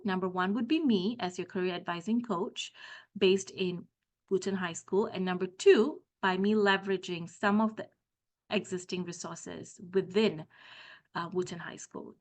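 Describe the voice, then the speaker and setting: Middle-aged woman from Malaysia; school career coach presenting to parents, explanatory, many "um"s. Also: mid-range voice. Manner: explanatory, frequent "um" fillers.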